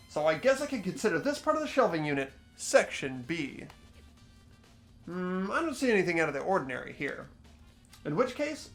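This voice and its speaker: Man playing an earnest, high-voiced teenage boy. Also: fairly bright tone, full character voice. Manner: thinking aloud, earnest, deliberate observations. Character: earnest, anxious teenage boy detective protagonist. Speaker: host of the playthrough. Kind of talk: fan-dubbed visual novel game narration.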